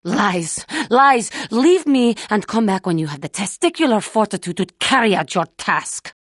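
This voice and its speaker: A woman playing a proud, tormented fallen warrior seeking redemption in a video game, speaking in formal, solemn and deliberate phrases. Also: medium pitch, animated, no echo, studio quality, close to an everyday voice. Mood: angry, defiant. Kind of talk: dramatic video game character dialogue.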